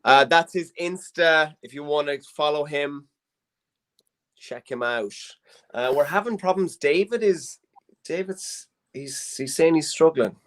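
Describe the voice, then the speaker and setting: A man's voice: a little high, measured pace, a bit bright. A man hosting a live online comedy show. He chats and jokes in a loose, rambling way. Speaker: host.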